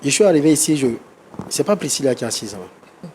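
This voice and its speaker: A man with a touch of flu argues his side on a talk show. His voice sits at an ordinary pitch and turns defensive and heated fast, with points said twice over. A faint noise runs under it. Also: very animated, warm tone, poor audio. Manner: defensive, quickly heated, repeats himself. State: has the flu. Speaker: guest on a reconciliation show.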